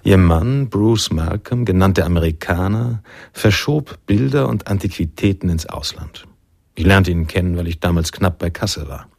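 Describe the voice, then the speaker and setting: An actor playing a reflective, nostalgic male narrator in a noir radio drama, speaking in a measured, literary manner. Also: deep voice, vocal fry, darkish tone, no echo, clean studio sound. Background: jazz music.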